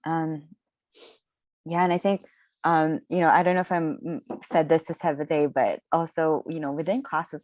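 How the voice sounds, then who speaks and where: A woman with a very low voice talks in a dry, close-miked sound. She pauses often with fillers as she gathers her thoughts at an artist panel. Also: dry recording. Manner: hesitant, many ums, warm agreeing. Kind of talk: panel conversation.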